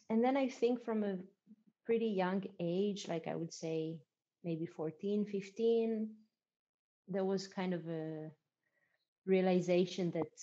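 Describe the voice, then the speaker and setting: A young adult woman from Israel, a dancer being interviewed, talking reflectively with many pauses and fillers. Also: medium pitch, warm tone. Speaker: podcast guest, dancer. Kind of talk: casual conversational interview. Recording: dull lo-fi audio.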